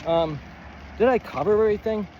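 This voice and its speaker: A man giving a rambling, informal lesson as a writing coach, thinking aloud in a halting, stammering way and often wandering off on tangents. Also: high-pitched, lo-fi sound, slight background noise. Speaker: writing coach, presenter of a video series.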